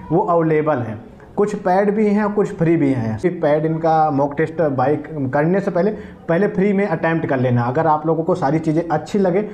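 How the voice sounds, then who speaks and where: A man with a fairly high-pitched voice speaks informally as a presenter. He gives a promotional walkthrough, advising viewers conversationally as he goes. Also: a little mellow. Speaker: presenter promoting an exam app.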